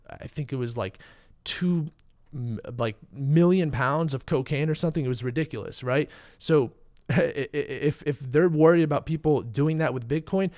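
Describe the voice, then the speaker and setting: Slightly hoarse man hosting a crypto stream. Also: a little high, a little mellow. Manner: casual, chatty, uses fillers, reads chat questions. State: losing his voice, a bit sick. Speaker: crypto trading channel host. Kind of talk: live stream market commentary.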